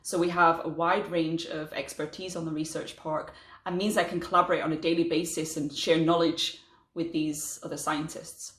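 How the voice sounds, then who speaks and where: Low-voiced woman, roomy sound. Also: flat delivery, moderate pace. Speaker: chemistry research fellow. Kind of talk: scripted talk to camera.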